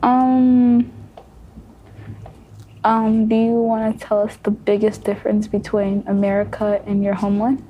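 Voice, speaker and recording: Slow, soft-spoken young woman. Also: medium pitch, level tone, muffled recording, slight background noise. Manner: soft, hesitant, many ums. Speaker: student moderator asking questions. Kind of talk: casual panel discussion.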